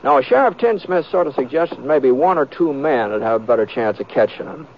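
Guy playing laconic cowboy drifter, gravelly folksy drawl, hesitant, old muffled radio western w loud crackle. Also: mid-range voice, lively intonation, brisk, natural voice. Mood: mild, hesitant. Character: easygoing western drifter and reluctant gunman, laconic, modest. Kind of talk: 1950s radio western acting and first-person narration.

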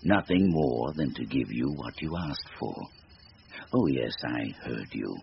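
An actor playing a sinister supernatural bargainer in a radio drama, gravelly, with a deliberate, formal, taunting delivery. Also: male voice, extremely low pitch, animated, heavily acted. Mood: sly, taunting. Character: sinister supernatural stranger, silky, devilish bargainer, bored and mocking. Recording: noisy, muffled radio drama recording.

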